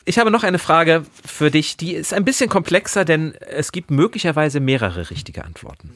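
Animated man with a dry studio sound. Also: fairly high pitch, normal speed, dry recording. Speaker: podcast co-host. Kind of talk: casual book quiz and banter. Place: podcast studio.

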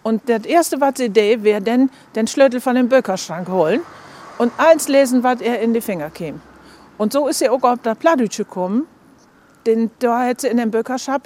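A woman with a northern Low German accent explains conversationally, as an academic being interviewed. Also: normal speed, a little mellow, a little hiss or hum.

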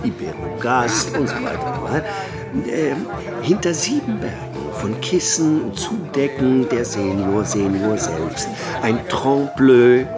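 A man's voice: medium pitch, expressive, takes it slowly, over loud music. An actor in a radio play playing a middle-aged man who tells things in an ornate, mock-pompous, hesitant way. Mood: mock-dramatic storytelling. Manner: ornate mock-pompous phrasing with stammered starts. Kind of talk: naturalistic radio play dialogue, anecdote telling.

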